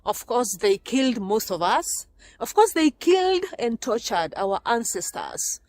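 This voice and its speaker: A woman's voice: a little high, very animated, unhurried, darkish tone, dry recording. Kenyan woman vlogging on a winter walk. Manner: chatty, laughs often, talks as she walks. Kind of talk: casual walking vlog. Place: snowy forest outdoors in winter. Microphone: phone camera.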